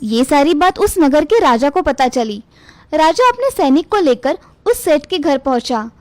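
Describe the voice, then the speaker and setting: A woman's voice: sits high, very animated, measured pace, a little mellow, dry recording. A woman reading a scripted moral tale for children as the narrator.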